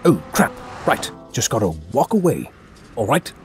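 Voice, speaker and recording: A man's voice: medium pitch, big pitch swings, moderate pace, faint music behind, lightly acted. A man playing an earnest, bumbling dragonborn adventurer in a comedic fantasy audio drama, chatty and awkwardly courteous.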